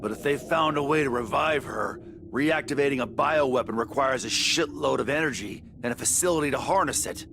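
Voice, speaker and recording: An actor with an American accent playing a tough, irritable warrior in an anime game, gravelly, blunt and curt. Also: male voice, medium pitch, brisk, noisy background, close to an everyday voice. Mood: grudging.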